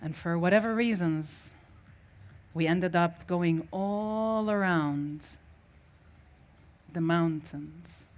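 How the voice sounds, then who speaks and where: A woman from Syria, a native Arabic speaker, giving a reflective personal story as a festival speaker and often restarting as she searches for words. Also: low voice.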